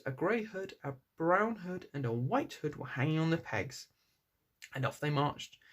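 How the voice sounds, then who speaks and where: A man reading a children's fantasy story aloud as narrator. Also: a little high.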